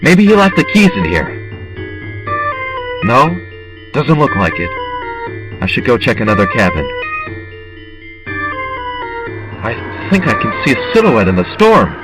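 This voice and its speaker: A man's voice: medium pitch, wildly swooping pitch, normal speed, pauses often, over music. A man playing an earnest, desperate survivor hunting a killer in an audio drama, dramatically thinking aloud. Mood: urgent, tense.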